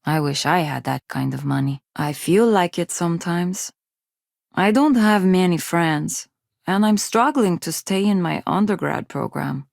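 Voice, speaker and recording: A close, dry recording of a young woman student from a poor colony in a video game. Her pitch sits slightly low but moves around a lot. She speaks shyly and haltingly, awkward and quick to put herself down. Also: expressive, vocal fry, a little mellow, no echo. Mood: wistful, downcast. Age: young adult. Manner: hesitant, awkward, self-deprecating. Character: shy, self-doubting young undergraduate woman from a poor space colony. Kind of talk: video game dialogue acting.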